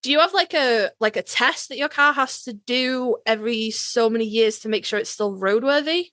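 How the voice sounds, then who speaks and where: Sweary, chatty podcast co-host: British woman, fairly high voice, very dry recording. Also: normal speed, fairly bright tone, dry recording. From United Kingdom. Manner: casually sweary. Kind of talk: casual fandom podcast chat.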